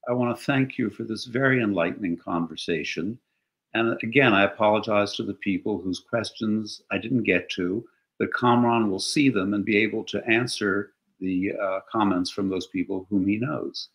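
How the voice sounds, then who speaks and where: An older man with a lowish voice hosts the conversation from his living room through a webcam. He speaks in a courteous, measured manner. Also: low-quality recording. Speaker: interviewer, host. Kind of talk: hosting a video call interview. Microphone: video call webcam.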